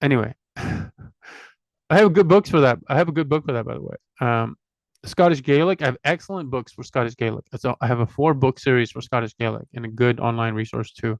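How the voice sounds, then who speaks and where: A man's voice: medium pitch, a little fast, gravelly, no room sound, dull audio. Chatty middle-aged man, dry sound. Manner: chatty, digressive, self-deprecating, lists items one by one. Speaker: language channel host. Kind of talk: casual rambling monologue.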